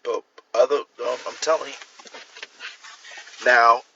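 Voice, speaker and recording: A man from Miami chatting casually on a livestream, rambling with restarts and jumping up in pitch to stress a point. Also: fairly even intonation, slow pace, pushed and bright, muffled recording, a little hiss or hum. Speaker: author hosting a livestream. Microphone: phone or computer mic.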